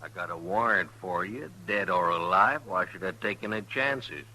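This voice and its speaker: An actor playing a hostile, blustering deputy gunman with a rough rural Western American way of talking, in an old radio western. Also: deep voice, normal speed, pushed and bright, exaggerated voice, rough recording. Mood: menacing. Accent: rural American West. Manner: blustering, threatening, rough-spoken. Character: hostile, swaggering deputy gunman, ready to kill. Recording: vintage radio with faint noise.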